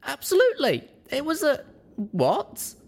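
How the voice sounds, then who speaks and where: A young female pony from a fantasy audiobook, acted out by a man, speaks in a very high, squeaky voice. She is earnest and easily flustered, with questions that swing widely in pitch. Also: wildly swooping pitch, a little slow, exaggerated voice. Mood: emphatic.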